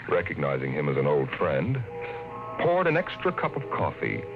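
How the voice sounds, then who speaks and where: A man's voice: a bass voice, wildly swooping pitch, normal speed, narrow, tinny audio. A man narrating a 1950s radio drama with a dramatic storytelling delivery. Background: loud music score.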